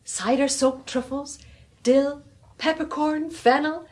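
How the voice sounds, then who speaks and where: An actress playing a young wife, by turns rustic and haunted, in a British radio horror drama with theatrical, archaic phrasing. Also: female voice, sits high, fairly even intonation, slow pace, darkish tone. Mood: teasing, rustic.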